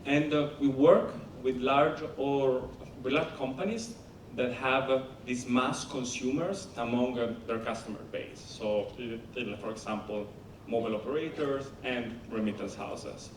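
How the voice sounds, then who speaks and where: A man with an Italian accent speaking as a company founder on a conference panel, halting and full of fillers and restarts. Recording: echoey hall, distant mic, rumble.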